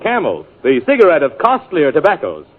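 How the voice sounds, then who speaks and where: A man's voice: on the high side, wildly swooping pitch, a little fast, phone-quality sound, loud music behind the voice. A man announcing a 1940s radio advertisement in an emphatic, selling manner. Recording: thin 1940s radio broadcast.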